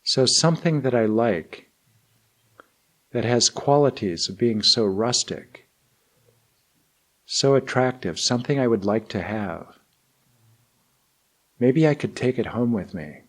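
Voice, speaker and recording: A man teaching and guiding meditation in deliberate, measured phrases with long pauses. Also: mid-range voice, lively intonation. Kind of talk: guided meditation and spoken teaching.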